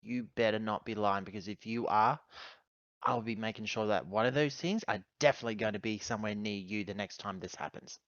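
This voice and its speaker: A man's voice: fairly low pitch, fairly quick, a bit bright, dry recording, lo-fi sound, natural voice. A man playing a tough, threatening female spaceship officer with a blunt, commanding manner in a tabletop game.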